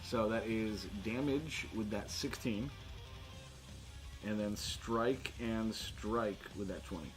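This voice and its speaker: A man's voice: fairly low pitch, takes it slowly, over music. A man acting as game master in a casual tabletop session, narrating and bantering with a joking, foul-mouthed manner.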